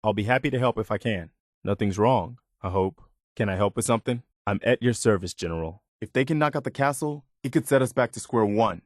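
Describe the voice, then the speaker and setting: An actor playing an earnest, loyal militia lieutenant in a video game, sincere, respectful and soldierly. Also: male voice, lowish voice, a little fast, no room sound, clean studio sound, natural voice. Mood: helpful, respectful, then grave, resolute. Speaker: companion character in a video game.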